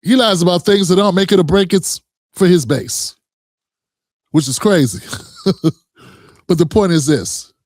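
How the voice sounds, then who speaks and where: A man's voice: high voice, unhurried, pauses often, darkish tone, no echo, studio quality. A man hosting a reaction commentary, talking in a heated, emphatic way with frequent profanity and direct address to viewers. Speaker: commentary host.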